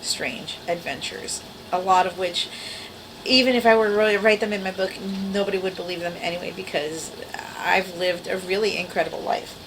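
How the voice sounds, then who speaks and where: Lady in her 40s with a teeny girlish voice, kinda low-pitched, rambling and wry, lots of noise behind her. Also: steady tone, normal speed. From the Bronx, New York. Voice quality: small, girlish voice. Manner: rambling, self-deprecating, wry. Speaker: vlogger. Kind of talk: casual chat to camera. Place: at home. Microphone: camera mic.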